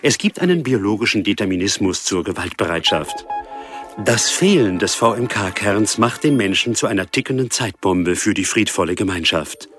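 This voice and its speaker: An actor playing an authoritative scientific lecturer in a radio drama, delivering scripted exposition in a formal, didactic way. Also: male voice, fairly low pitch, lively intonation, normal speed, a bit bright, faint music behind. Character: authoritative male lecturer voicing an official scientific report.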